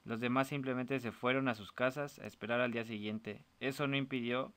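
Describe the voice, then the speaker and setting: A man's voice: medium pitch, steady tone, a little fast, a bit bright, clear, resonant tone. A man narrating a scripted short story as a storyteller.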